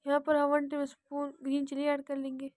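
A woman cooking host talks through a recipe in a quick, high voice that hardly moves in pitch. Her tone is soft and mellow, and the recording is dry and close, with no room around it. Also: monotone, no echo. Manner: plain, repetitive instructional narration. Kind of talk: step-by-step recipe voice-over.

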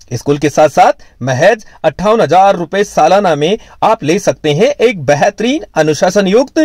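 Male promo narrator, high-pitched, punchy persuasive read, dry studio sound. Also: darkish tone, no room sound. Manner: emphatic, persuasive sales delivery. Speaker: advertisement narrator. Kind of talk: promotional voice-over.

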